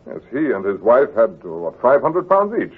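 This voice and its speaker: A man's voice: mid-range voice, sing-song, normal speed, breathy edge. A man playing a proud, stubborn young heir in an old-time radio drama, blunt and resolute after hesitant starts. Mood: surprised, plain. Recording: tinny, muffled vintage radio recording.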